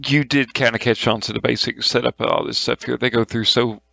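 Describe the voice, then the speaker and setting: A man acting as game master, narrating a tabletop game in a halting, colloquial, informal way. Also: medium pitch, steady tone, creaky, pushed and bright, no echo.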